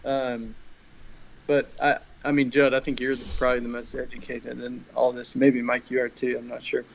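A man's voice: mid-range voice, monotone, moderate pace, vocal fry, a little hiss or hum, low-quality recording. A young man, co-hosting a casual podcast over a video call, talking in an easygoing, hedging way.

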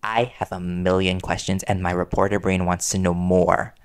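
A man playing a worried reporter boyfriend in an intimate audio roleplay, earnest and halting as he gently confronts his partner. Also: low voice, little pitch movement, clean tone, soft background music, close to an everyday voice. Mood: anxious, curious.

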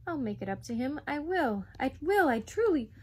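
A desperate young schoolboy from a storybook, played by a woman reader. The voice is raised high and slightly husky. He is earnest and imploring, pushing his words out urgently. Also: big pitch swings, moderate pace, full character voice. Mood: desperate pleading. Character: earnest pleading working-class schoolboy, desperate and sturdy.

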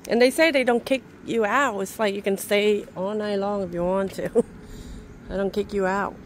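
A woman's voice: mid-range voice, fairly even intonation, moderate pace, a little hiss or hum. A woman with a Vietnamese accent, a native Vietnamese speaker, chatting casually to her viewers while fishing by a river at night, laughing often. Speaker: vlogger. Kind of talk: casual vlog chat to viewers.

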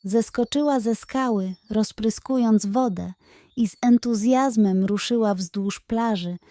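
A woman storyteller narrating a scripted children's bedtime tale in an expressive, measured way. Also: a little high, dry recording, studio quality.

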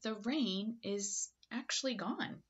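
A woman in her thirties reads a picture book aloud like a teacher, expressively, with dramatic pauses and questions to young listeners. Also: fairly high pitch, breathy edge. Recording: slightly dull webcam audio.

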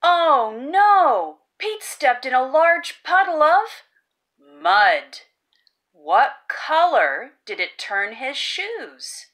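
A woman with a bright, high voice reads a children's picture book aloud. Her delivery is expressive and exaggerated, swooping widely in pitch and stretching key words for young listeners. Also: extremely slow, slight background noise. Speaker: children's story reader, host. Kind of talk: animated children's read-aloud.

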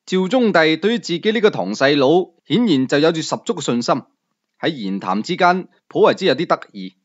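A man's voice: big pitch swings, a little fast, highs cut off. A man narrating a novel in the first person as a storyteller, in an audiobook reading. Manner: first-person storytelling, rising and falling pitch.

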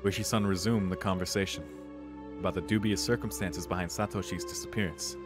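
A man's voice: sits low, steady tone, a little fast, over loud music. A young man, a let's player reading game narration aloud with casual reactions. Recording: clear rain and hiss behind.

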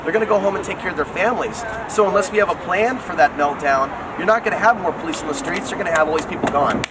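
A man's voice: high voice, fast talker, recorded from a distance, heavy background noise. A man making earnest, argumentative political points in the street, recorded outdoors on a handheld camera. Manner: insistent, argumentative. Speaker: city council candidate and local TV show host. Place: outdoors on a city street at night. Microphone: handheld camera a step or two away. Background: city street ambience and vehicles.

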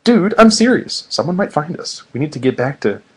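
A man's voice: on the high side, big pitch swings, a little fast, husky, heavily acted. A man voicing a reluctant, anxious cartoon blue jay slacker, cautious and exasperated, in a fan fiction reading. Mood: worried.

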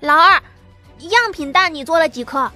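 A woman voicing a boy who builds weapons, speaking briskly and matter-of-factly. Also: extremely high pitch, animated, sharp tone, soft background music, pitched above the performer's own voice, exaggerated voice. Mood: businesslike. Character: boy, clever young weapon maker.

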